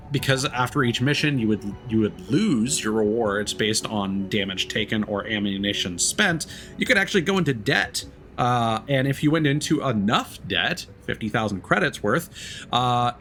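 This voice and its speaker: A man's voice: medium pitch, fairly bright tone. A man giving casual video game commentary as a streamer, talking in a reflective, meandering and hesitant way. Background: background music.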